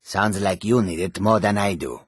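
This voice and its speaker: A male actor playing a courteous, sly humanoid cat trader in a fantasy video game, with a gravelly, husky voice and measured, formal speech. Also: deep voice, no room sound, clean studio sound. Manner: measured, formal, refers to himself in third person.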